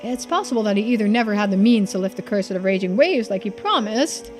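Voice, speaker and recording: A woman's voice: mid-range voice, lively intonation, fast talker, darkish tone, close to an everyday voice. A woman voicing a shrewd, laid-back young man in video game dialogue, speaking matter-of-factly and casually. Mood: shrewd, calm. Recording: game music behind the voice.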